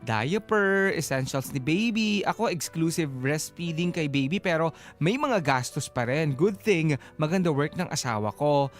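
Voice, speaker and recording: Casting: male Filipino talk co-host, high-pitched and swooping, expressive, chatty banter with a partner. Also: unhurried, faint music behind. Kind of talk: casual chat, reading listener comments.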